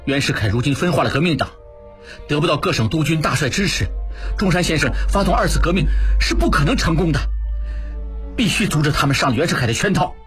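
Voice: mid-range voice, animated, fast, soft background music, close to an everyday voice. A narrator playing an earnest, troubled man with a grave, urgent manner in a historical audiobook. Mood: worried, insistent. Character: earnest, troubled young man, revolutionary sympathiser.

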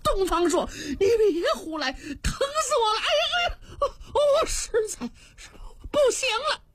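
A woman's voice: very high-pitched, sing-song, measured pace, fairly bright tone, dry recording, voice pushed up, exaggerated voice. A woman voicing a frightened male official who begs and wails for his life. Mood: wailing. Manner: wailing, desperate. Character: Wang Zang, frightened pleading male official.

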